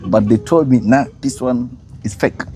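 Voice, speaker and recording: A man's voice: mid-range voice, moderate pace, music in the background. A man from Kenya, hosting a casual chat, talking in a loose, hesitant way with many fillers. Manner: chatty, hesitant, many uh and yeah. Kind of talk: casual street conversation. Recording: crowd noise behind.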